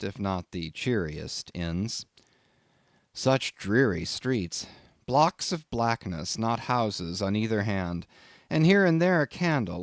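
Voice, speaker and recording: A man reading a literary audiobook as scripted narration, in an expressive, measured storytelling manner. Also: mid-range voice, no echo, studio quality.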